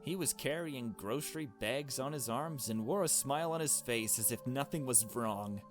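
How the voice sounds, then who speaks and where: A man's voice: mid-range voice, normal speed, soft background music. A man reading a visual novel aloud as narration, as a player commenting on the story along the way.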